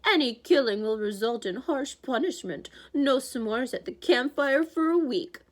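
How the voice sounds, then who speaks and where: A woman plays a sneering old male overseer who lays out rules like he's scolding naughty children. His voice swings from up high to flat, dripping with mock politeness. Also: a little slow. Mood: smug. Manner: condescending, mocking announcer. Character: smug cruel old male camp overseer.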